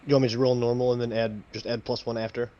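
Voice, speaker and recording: A man's voice: medium pitch, level tone, fast, dark tone. Hesitant man player on lo-fi audio. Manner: hesitant, asks rules questions with restarts. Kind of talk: casual tabletop play.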